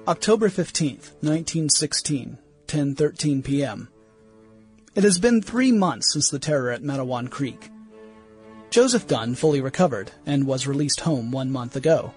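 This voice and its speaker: A man playing a sober, scholarly scientist of the 1910s, reading reflections formally and in measured phrases for a radio play. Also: mid-range voice, lightly acted. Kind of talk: radio play diary monologue.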